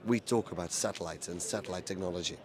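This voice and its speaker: A man with a hoarse voice he says he has lost, casually recapping a conference to camera, plain and steady with many ums. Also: low-pitched, fast, a crowd in the background. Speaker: event reporter recapping a conference.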